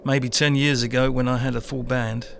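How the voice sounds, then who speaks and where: A man acts out a disillusioned railway worker nearing fifty in a horror comic drama. His gravelly, mid-pitched voice is colloquial and world-weary, with clear noise behind it. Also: little pitch movement, normal speed, lightly acted. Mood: wistful, despairing. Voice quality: creaky, gravelly. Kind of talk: dramatic dialogue in an audio-led motion comic.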